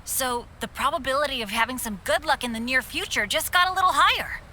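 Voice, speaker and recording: High, boyish young adventurer. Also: female voice, quick pace, pushed and bright, a little hiss or hum. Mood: upbeat, earnest. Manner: boyish and earnest. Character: unlucky teenage boy adventurer, earnest, apologetic, stubbornly optimistic. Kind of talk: anime video game voice acting.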